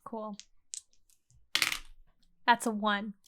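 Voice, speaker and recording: A woman's voice: medium pitch, little pitch movement, drawn-out words, background noise. A woman playing a tabletop role-playing game, describing her character's actions in the first person in conversational, piecemeal phrases.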